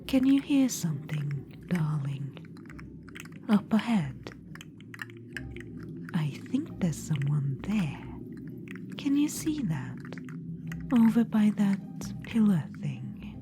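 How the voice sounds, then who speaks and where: A woman's voice: fairly low pitch, very animated, takes it slowly, pauses often, noisy background, exaggerated voice. A woman whispering as a sly undead witch-queen. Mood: hushed. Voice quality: soft whisper. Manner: confiding, fond, slyly amused. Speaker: ASMR roleplay performer. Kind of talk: whispered ASMR audio roleplay. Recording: music underneath. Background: background music.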